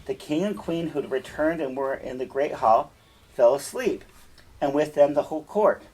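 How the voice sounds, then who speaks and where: A man with a husky voice, speaking as a teacher in an emphatic, deliberate storytelling style, checking in with listeners. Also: on the high side, a little mellow, poor audio. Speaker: teacher reading and explaining a fairytale. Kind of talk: storytelling read-aloud with explanatory asides.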